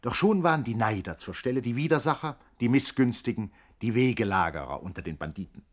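A man's voice: medium pitch, expressive, a little fast. A man narrating a 1960s German radio comedy in a mock-solemn, grandiose, rhetorical style.

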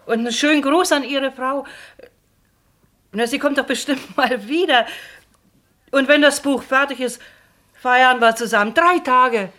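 A cheerful, hospitable wife in a 1970s radio play. Her voice is high and bright, hearty and chatty. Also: measured pace, pauses often. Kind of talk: 1970s East German radio drama acting.

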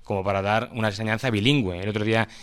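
A man with a Madrid accent and a smooth, fairly low voice, recorded dry. He speaks off the cuff as a teacher at a public debate, hesitating as he looks for words and tacking little questions onto his phrases. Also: steady tone, very fast, no room sound. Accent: Madrid Spanish. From Madrid, Spain. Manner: hesitant, searching for words, frequent tag questions. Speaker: schoolteacher speaking from the audience. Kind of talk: impromptu speech at a public debate.

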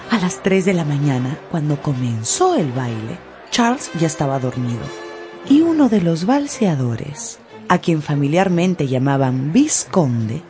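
Female narrator for a radio novel, slightly low voice, theatrical and expressive, light music bed. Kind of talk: scripted dramatic storytelling for radio audiobook. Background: faint music.

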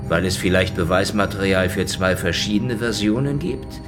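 An actor playing a hardboiled, cynical Soviet secret-police detective, talking in a dry, sardonic, probing way. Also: male voice, sits low, moderate pace, music in the background, natural voice. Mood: bargaining. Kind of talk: radio drama acting.

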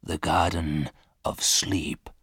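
A man's voice: very deep voice, steady tone, slow pace, fairly bright tone, slightly rough, no echo, studio quality, voice pushed down, heavily acted. A man voicing a sad, lonely old male ghost from a storybook, speaking politely and mournfully. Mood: wistful, hushed.

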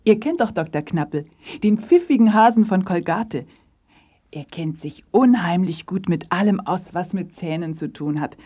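A woman narrating a scripted story for children, addressing them directly as a measured storyteller. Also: on the low side, animated, mellow, husky.

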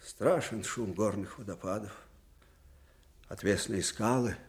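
An actor playing a shrewd, fervent elderly military commander in a radio drama, with emphatic, theatrical delivery that shifts abruptly. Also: male voice, lowish voice, unhurried. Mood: grave, wary. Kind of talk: Soviet radio drama acting.

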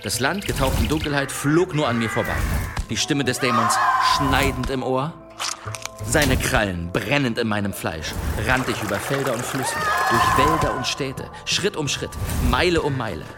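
A man in a German radio play acting a self-assured adventurer who tells tall tales in florid, measured storytelling. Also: mid-range voice, over loud music, natural voice. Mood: dramatic boasting.

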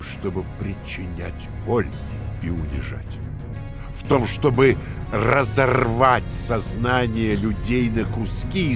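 An actor playing a cruel, domineering party interrogator who lectures theatrically, swinging from hushed to emphatic, in an audio drama. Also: male voice, mid-range voice. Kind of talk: dramatic audio play dialogue.

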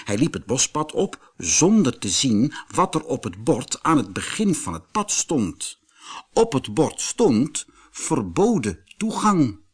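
Animated Dutch man narrating a fairy tale. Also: mid-range voice, slightly rough. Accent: Netherlands Dutch. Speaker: audiobook narrator.

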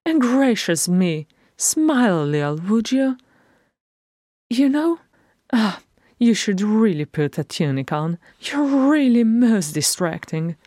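Fussy male hobbit, woman putting him on, chiding, exasperated, high, loud, lively, audiobook. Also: slow, dry recording, studio quality, exaggerated voice. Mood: fussy scolding. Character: fussy, scolding middle-aged male hobbit. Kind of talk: audiobook fan reading.

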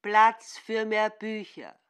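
A woman reading narration in a radio play, slow and expressive. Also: medium pitch, clean tone. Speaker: second narrator.

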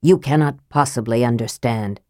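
A woman's voice: a bass voice, normal speed, a little mellow, no echo, clean studio sound, voice pushed down. A woman reading an audiobook, voicing a frail, bitter young male mage who speaks in a cool, peevish, hissing tone. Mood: cold, cutting. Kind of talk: audiobook character voice.

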